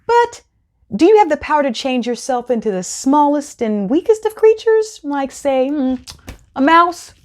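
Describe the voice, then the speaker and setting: A woman voicing a cunning, bold, flattering trickster cat in a theatrical storybook read-aloud for children. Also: high voice, normal speed, voice pushed up. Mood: coaxing awe.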